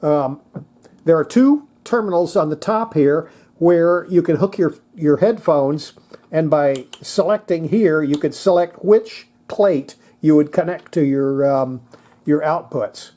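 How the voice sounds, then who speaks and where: A presenter giving a casual hands-on technical demonstration, thinking aloud in a halting way. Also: medium pitch, mellow. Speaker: presenter demonstrating antique radios.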